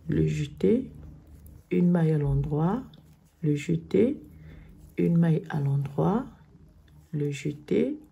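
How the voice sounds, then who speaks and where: A woman with a cold, hoarse, slowly explaining knitting stitches step by step in a tutorial. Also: low-pitched, phone-quality sound. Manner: slow, step-by-step instructions.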